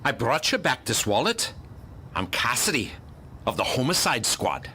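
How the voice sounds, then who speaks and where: An actor playing a gruff, belligerent, sardonic homicide detective in a pulp radio drama. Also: male voice, medium pitch, wildly swooping pitch, normal speed, bright, forceful tone, a little hiss or hum. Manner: blunt, scowling, commanding.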